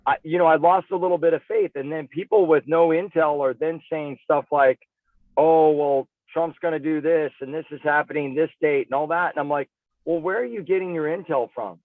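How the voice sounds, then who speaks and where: A man's voice: on the high side, a little fast, no echo. A male interview guest talking casually and with firm opinions, emphatic and conversational, over a thin phone-like connection. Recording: dry, tinny phone-like radio sound.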